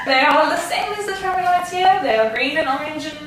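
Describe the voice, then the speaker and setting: A woman's voice: very high-pitched, fairly bright tone. A woman chatting casually as a guest, laughing often in a playful way. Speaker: guest friend. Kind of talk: casual chat. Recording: echoey room, mic far off, noisy.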